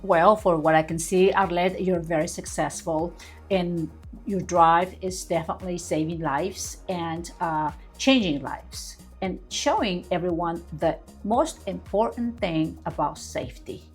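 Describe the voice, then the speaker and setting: A woman's voice: lowish voice, breathy edge. A woman hosting a podcast interview in a studio, gushing and effusive, heaping compliments on her guest. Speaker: podcast host.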